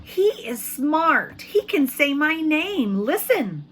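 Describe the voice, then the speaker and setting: A woman voicing an amazed, proud young boy in a children's storybook, exclaiming with excitement. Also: high voice, slow, pitched above the performer's own voice, exaggerated voice. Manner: wide-eyed, proud, exclaiming.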